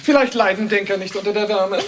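An actor playing a placid academician who spouts banal truths and drily needles, in an absurdist radio comedy. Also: male voice, high-pitched, fast talker, background noise, lightly acted. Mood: needling. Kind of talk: absurdist comic radio play acting.

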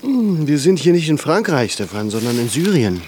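An actor in a radio drama playing a pensive, gently strict scholarly father in his thirties, with a gravelly voice. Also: a little high, big pitch swings, a little hiss or hum. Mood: fatherly, probing. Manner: slow, halting, introspective.

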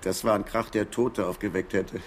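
An actor playing a folksy, genial older motel keeper making small talk in a radio crime drama. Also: male voice, fairly low pitch, steady tone, normal speed, a little hiss or hum, lightly acted. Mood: chatty, genial. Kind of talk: naturalistic radio drama dialogue.

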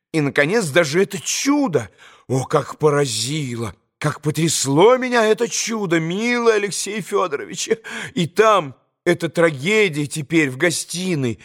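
A man's voice: fairly high pitch, a bit bright. A man playing a gushing, frantic noble mother. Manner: breathless exclamations, dramatic and fussy. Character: flustered, gushing middle-aged noble mother.

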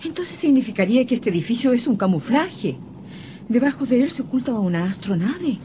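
A high-pitched, distraught neighbour, excitable and full of horror. She gasps her way through old-fashioned radio melodrama. The sound is dull and noticeably noisy. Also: a little fast. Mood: shocked. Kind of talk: old radio drama acting. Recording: muffled old radio recording, clear noise.

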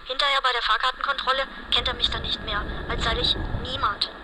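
A woman performing a feeble, sexually indefinite figure drained by alienation, in a halting, introspective monologue for experimental radio art. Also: on the high side, fairly even intonation, close to an everyday voice. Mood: alienated. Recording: clear background noise.

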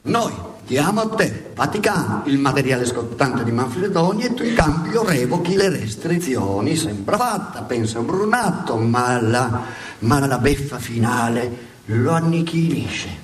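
A man's voice: mid-range voice, sing-song, measured pace, a crowd in the background. A man narrating a satirical theatre monologue in an emphatic, ironic way, repeating words for dramatic effect. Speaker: stage narrator. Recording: hissy radio theatre recording.

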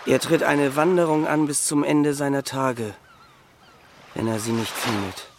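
An actor playing a brooding, fatalistic working man of the seaside in a radio drama, halting and portentous. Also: mid-range voice, fairly even intonation, a little hiss or hum, lightly acted. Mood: brooding, ominous.

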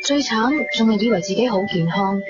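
A woman's voice: mid-range voice, moderate pace, natural voice. An actress playing an earnest, trusting, romantic shop assistant in her twenties in a radio drama, speaking sincerely with a Hong Kong Cantonese accent. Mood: wistful, reflective. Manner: sincere, gently protesting. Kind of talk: 1990s radio drama acting with inner monologue. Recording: dull lo-fi radio recording, music behind.

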